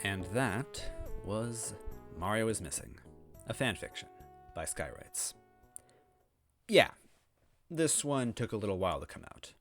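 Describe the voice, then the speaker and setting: A man's voice: on the low side, expressive, unhurried, long pauses. A man talking casually and reflectively to his viewers as the host of a story-reading channel, with music behind him. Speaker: fan fiction reader and channel host. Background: background music.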